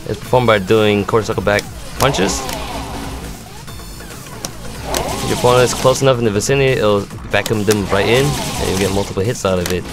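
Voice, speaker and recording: A man's voice: lowish voice, gravelly. A man giving step-by-step tutorial commentary on a fighting game, explaining as a gamer presenting a demo. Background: music.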